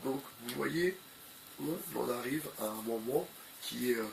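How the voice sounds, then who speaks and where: Slow, gravelly man with a cold. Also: mid-range voice, animated, background noise. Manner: hesitant, repeats phrases, many fillers. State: has a cold. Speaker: video commentator. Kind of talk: unscripted spoken commentary.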